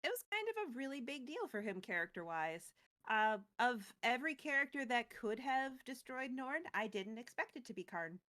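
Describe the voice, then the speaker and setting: A woman's voice: fairly high pitch, sing-song, normal speed, pushed and bright. A woman co-hosting a casual recap of a fantasy story, telling it playfully and acting out bits as she goes. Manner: playful, acts out quotes and sound words. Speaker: co-host recapping a fantasy card game story.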